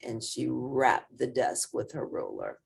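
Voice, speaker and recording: A woman narrates a children's picture book in an expressive read-aloud style. Her voice is low and husky, and she takes an unhurried pace. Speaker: storybook reader.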